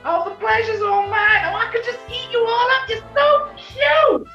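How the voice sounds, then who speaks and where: Gushing American club chanteuse, high and bright, cooing melodrama, 1940s radio comedy. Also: female voice, steady tone, moderate pace, reverberant, muffled recording, faint music behind, heavily acted. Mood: adoring. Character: gushing, theatrical young female nightclub singer, gangster's fiancée.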